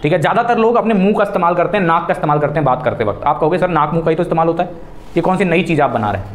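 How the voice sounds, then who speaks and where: High-pitched Indian man coaching a class. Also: animated, racing, slight background noise. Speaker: voice and spoken English coach. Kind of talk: classroom instruction with demonstrations. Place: small indoor classroom. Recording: echoey room.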